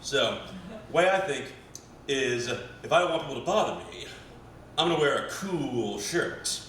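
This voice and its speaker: A man's voice: mid-range voice, lively intonation, normal speed, a bit bright. An American man performing stand-up comedy at an open mic, with a hesitant, rambling delivery full of trailing asides. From West Virginia family, United States. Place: small live comedy venue. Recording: echoey room, distant mic, faint noise.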